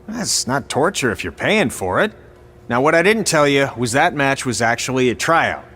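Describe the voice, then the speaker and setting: An actor playing a sarcastic, blunt veteran wrestling trainer in video game dialogue. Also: male voice, medium pitch, big pitch swings, normal speed, a bit bright, breathy edge, exaggerated voice. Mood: stern.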